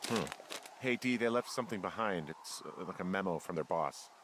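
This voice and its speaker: A man's voice: low-pitched, animated, a little fast, a bit bright, slight background noise. An American man playing a wry, wary middle-aged fire lookout in a story video game, speaking in a casual, dry, hesitant way. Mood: uneasy curiosity. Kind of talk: naturalistic game dialogue. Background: game music.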